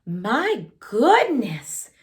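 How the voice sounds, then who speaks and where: Sweet, high-voiced old woman, acted by a storyteller. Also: wildly swooping pitch, extremely slow, exaggerated voice. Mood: concerned. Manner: bright, gentle, slightly hesitant. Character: kind, sweet, plump old woman helper.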